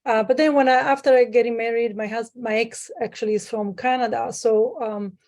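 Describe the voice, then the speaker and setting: A woman's voice: fairly high pitch, fairly even intonation, a little fast, darkish tone. A woman from Sicily, Italy, talking as a guest on a remote call, in a casual, hesitant way with many fillers. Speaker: guest, private lender. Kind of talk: casual interview.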